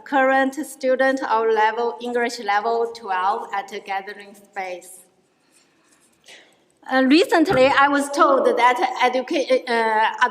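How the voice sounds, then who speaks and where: Middle-aged woman with a Chinese accent, high voice, careful, halting rally speech, echoey hall. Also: fairly bright tone. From China. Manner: halting, careful, with frequent restarts. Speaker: adult education student speaking at a rally. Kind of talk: prepared public speech. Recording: echoey hall with long reverb.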